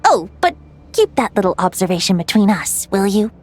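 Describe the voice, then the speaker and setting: A woman playing a calm, playful female guide telling old lore in a video game, measured and poised. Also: medium pitch. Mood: gently teasing. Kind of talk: scripted game dialogue, storytelling.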